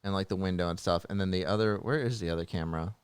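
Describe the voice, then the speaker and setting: Male podcast host, conversational, smooth tone, dry sound. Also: low-pitched, very fast, no room sound. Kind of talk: casual chat.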